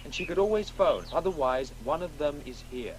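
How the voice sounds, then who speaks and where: An actor playing a precocious schoolboy in a radio play, earnest, confident and articulate. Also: male voice, on the high side, lively intonation, a little fast, slight background noise, close to an everyday voice, low-quality recording. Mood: confident, insistent. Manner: articulate, insistent, eager. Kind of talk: naturalistic radio play dialogue.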